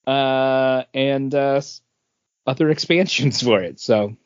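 A man's voice: mid-range voice, slow, smooth. A man co-hosting a podcast in casual chat, playful and teasing. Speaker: podcast co-host. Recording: dry dull lo-fi recording.

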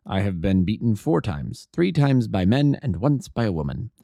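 A man voices an aloof consulting detective from a classic storybook. He speaks in a measured, matter-of-fact way, soft-edged and mellow, with a lowered pitch. The sound is close and dry. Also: very animated, gravelly, no echo, full character voice. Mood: calm, assured. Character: calm, self-assured, aloof male consulting detective. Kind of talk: audiobook character dialogue.

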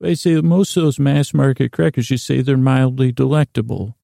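An American man hosting a bedtime podcast with a gravelly voice, rambling in a lighthearted, gently silly way and often stumbling over and correcting his words. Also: medium pitch, steady tone, moderate pace, no echo, clean studio sound. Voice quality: gravelly, creaky. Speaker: podcast host. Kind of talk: rambling bedtime monologue to lull listeners to sleep.

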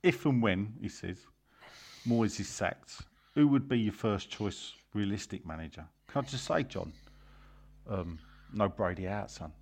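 A man's voice: fairly low pitch, lively intonation, unhurried, creaky. A man hosting casual football banter, teasing and blunt as he reads out listener messages. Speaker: host of a football fan podcast.

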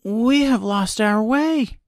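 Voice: medium pitch, slow, dry recording, clean studio sound, pitched above the performer's own voice. A storyteller voicing an earnest, curious and anxious young girl in a children's storybook. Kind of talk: storybook character voice.

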